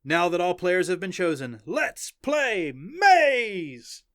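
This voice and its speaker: Male reader as showman sports announcer for a story, excited, high and loud, drawn-out emphasis. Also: no echo, clean studio sound, exaggerated voice. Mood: hyped up. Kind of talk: arena sports commentary in an audiobook.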